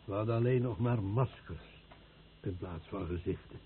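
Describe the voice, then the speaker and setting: An actor playing an exhausted, fearful survivor, a fisherman, speaking haltingly and repeating words in a radio play. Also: on the low side, lightly acted. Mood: haunted. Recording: muffled, noisy old radio play recording.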